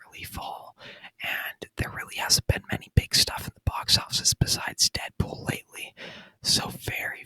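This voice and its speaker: A man speaking in a breathy, unvoiced whisper close to the microphone, chatting in an informal, rambling, enthusiastic ASMR review style. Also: pushed and bright, no room sound, poor audio. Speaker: ASMR video creator and gamer. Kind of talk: whispered ASMR movie review, casual chat. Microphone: close microphone, dry sound.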